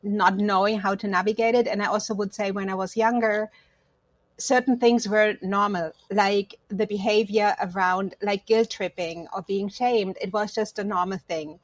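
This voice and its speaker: A woman's voice: medium pitch, steady tone, moderate pace, no echo, rough recording. Dry-sounding woman from Germany, reflective interviewee. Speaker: podcast guest, counselor. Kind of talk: reflective personal interview talk.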